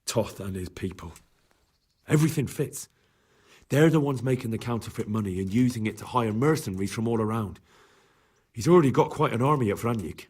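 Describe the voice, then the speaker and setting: An actor playing an earnest, loyal young squire in a game, plain-spoken and deferential. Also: male voice, mid-range voice, animated, fairly quick, a little mellow, slightly rough. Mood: urgent, earnest. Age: young adult. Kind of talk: video game cutscene acting.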